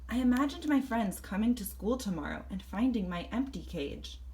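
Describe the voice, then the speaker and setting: A woman reading a children's story aloud in first person, expressively and deliberately, acting out the tale. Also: mid-range voice, lively intonation, a little mellow. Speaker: storybook reader.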